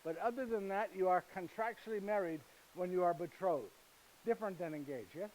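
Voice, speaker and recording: A gentle, humorous husband and carpenter tells his story in broken, foreign-sounding English, played by a male actor. His voice sits high for a man, and he keeps asking his listeners "yes?" as he goes. The delivery is a chatty stage monologue aimed straight at the audience. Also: fairly quick, natural voice, poor audio. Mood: fond storytelling. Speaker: actor performing a first-person character monologue. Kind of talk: live theatrical monologue.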